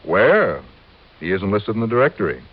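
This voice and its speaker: A man's voice: fairly low pitch, sing-song, moderate pace. An American man playing a wry, dogged insurance investigator in a 1950s radio drama, narrating in clipped, punchy phrases. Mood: insistent. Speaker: lead actor and narrator. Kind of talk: 1950s radio detective drama acting, first-person narration. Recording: muffled vintage radio recording with music.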